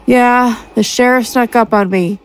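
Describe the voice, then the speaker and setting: A woman's voice: a little high, fairly even intonation, a little slow, clean tone. A woman playing a defiant, resigned soldier in an audio drama, with a terse, blunt, insolent delivery. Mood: blunt, sullen. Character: defiant, resigned female soldier condemned to die.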